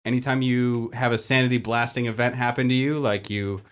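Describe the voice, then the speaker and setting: A man's voice: mid-range voice, fairly even intonation, brisk. A man acting as game master, casually explaining tabletop game rules in a joking, chatty way.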